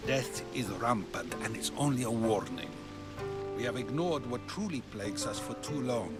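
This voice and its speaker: A man's voice: mid-range voice, animated, normal speed, natural voice. A man playing an eloquent, probing ancient Greek philosopher in video game dialogue, theatrical and full of rhetorical questions. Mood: grave. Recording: music under the voice.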